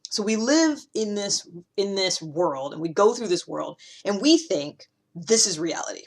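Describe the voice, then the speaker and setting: Female host, mid-range voice, casual explainer delivery, animated and emphatic, speaks straight to the viewer. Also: medium pitch, measured pace. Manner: animated, conversational, emphatic with asides. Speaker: host of a personality-typing video series. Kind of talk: casual explanatory monologue to camera.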